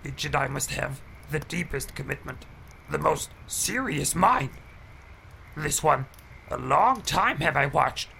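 A tiny, ancient alien sage with a very gravelly, croaking voice. His pitch sits high and swings widely as he speaks slowly in backwards phrases, cranky, impish and wise, in an audio drama. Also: pushed and bright, a little hiss or hum, heavily acted. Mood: grave, stern. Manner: inverted syntax, chuckles and hums between phrases. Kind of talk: audio drama character acting.

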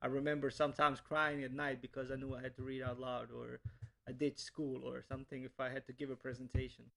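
A man's voice: mid-range voice, flat delivery, a little fast, gravelly, fairly bright tone, slight background noise, poor audio. A young man from Bosnia, a language teacher, answering interview questions earnestly with many restarts and repeated words.

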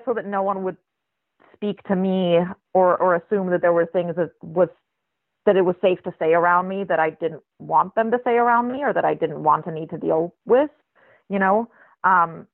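A writer raised in Israel with a flat American accent, speaking as an interview guest in a thoughtful, searching way with many restarts. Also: medium pitch, vocal fry, no room sound. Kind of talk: reflective conversational interview. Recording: tinny phone-line recording.

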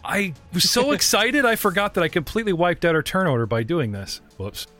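A man's voice: high voice, expressive, brisk, sharp tone, faint music behind. A man running a tabletop game as game master, joking and rambling with his players, often restarting his sentences. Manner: playful, rambling, stumbling restarts.

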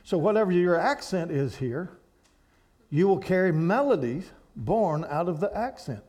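Man, preaching, rambling with restarts, slightly high voice. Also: lively intonation, unhurried, a little mellow. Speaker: guest speaker at a church. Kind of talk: sermon to a congregation.

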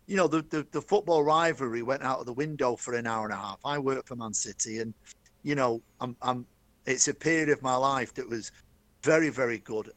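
Middle-aged Mancunian man, chatty storyteller, mid-pitched, dry close recording. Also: a bit bright, no room sound. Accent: Manchester. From Manchester, England. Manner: chatty, enthusiastic, addresses host by name. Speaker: guest, stadium announcer. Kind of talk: storytelling interview.

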